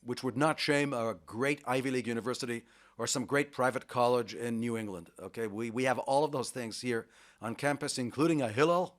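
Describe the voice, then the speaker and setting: A man's voice: mid-range voice, brisk. Middle-aged man, radio interviewee, enthusiastic, talkative, occasional restarts. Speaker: university vice president, interviewee.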